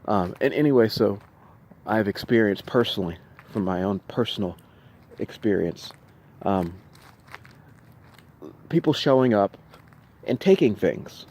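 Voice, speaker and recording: A man with a fairly low voice talks off the cuff to his camera. He speaks haltingly, often doubling back on his phrases and repeating them. His delivery is insistent and emphatic, as someone venting about his own experiences. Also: expressive, a little mellow. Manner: halting, repetitive, with restarted phrases. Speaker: vlogger recounting personal experiences. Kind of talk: unscripted video monologue, venting to camera.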